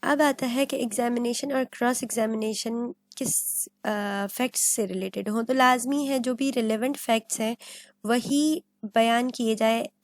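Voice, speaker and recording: A woman with a Pakistani accent speaks in a slow, dry, close recording with a slightly high voice. She explains like a lecturer, halting and circling back over phrases, with English terms mixed in. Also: fairly even intonation, no echo. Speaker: law lecturer and channel host. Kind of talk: explanatory lesson.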